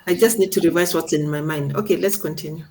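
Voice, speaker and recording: A woman's voice: low voice, fairly even intonation, fast, warm tone, a little hiss or hum. A middle-aged woman, teaching radiology in an informal seminar and explaining things step by step with questions along the way. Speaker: radiology instructor.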